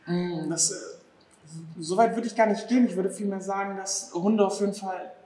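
Man in his 30s from afghanistan, animated interview guest, roomy echoey hall. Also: sits high, moderate pace, a crowd in the background. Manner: lively, animated, acts out dog owners' exclamations. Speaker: dog behavior therapist, guest. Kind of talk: casual interview talk. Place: large empty restaurant hall, indoors.

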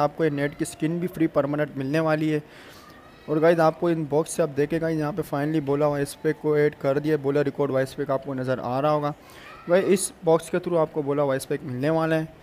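A young man with a somewhat high voice gives casual gaming commentary. He talks to his viewers informally, like a YouTuber sharing news. The voice-over has faint noise behind it. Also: darkish tone. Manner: addresses viewers informally and repeatedly. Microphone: phone screen recorder voice-over.

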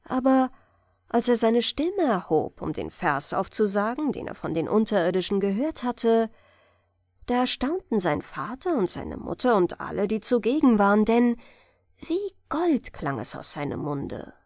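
A woman narrating a fairy tale as a gentle bedtime audiobook reading. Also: medium pitch, very animated, no echo, studio quality. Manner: slow, melodic storytelling.

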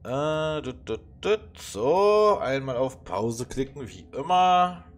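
Slow, musing man with a stuffy nose. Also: medium pitch, very animated. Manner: halting and musing, with many fillers. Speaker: let's play gamer. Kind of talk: casual puzzle game commentary.

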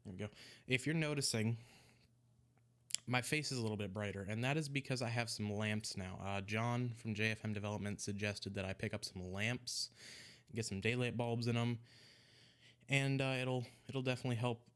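A young man casually walking through a recipe in a home vlog, sounding a little congested, relaxed and rambling, often restarting his words. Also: mid-range voice, normal speed, clean tone. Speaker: e-liquid mixing vlogger. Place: small room at home, indoors. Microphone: desk microphone in front of him.

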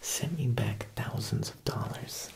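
A young man playing a smooth, menacing Italian mob boss tailor in a whispered ASMR roleplay, coaxing and deliberate with veiled threat. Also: medium pitch. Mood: smooth, generous. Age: young adult. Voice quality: breathy whisper with creaky edges. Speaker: ASMR performer.

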